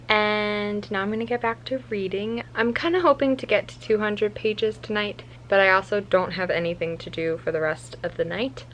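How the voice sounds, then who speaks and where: A woman's voice: medium pitch, a bit bright, rough recording. Casting: young American female vlogger, mid-range voice, conversational and thoughtful, recorded at home with some background noise. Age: young adult. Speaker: book vlogger. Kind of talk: casual vlog chat. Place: bedroom at home, indoors. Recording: clear ambient room noise.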